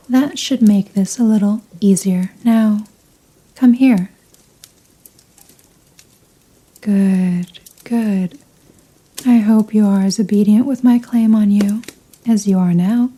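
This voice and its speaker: A woman playing a possessive, seductive female vampire in an ASMR roleplay, speaking in a teasing, formal, softly commanding manner with breathy murmurs. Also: medium pitch, slow pace, rough recording. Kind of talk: ASMR roleplay, scripted intimate monologue.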